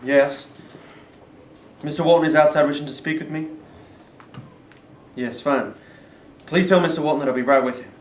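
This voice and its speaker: Guy reading a play as formal cautious headmaster husband, talks quick, echoey distant recording. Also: a little high, steady tone, lightly acted. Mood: polite. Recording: roomy, distant mic, faint noise.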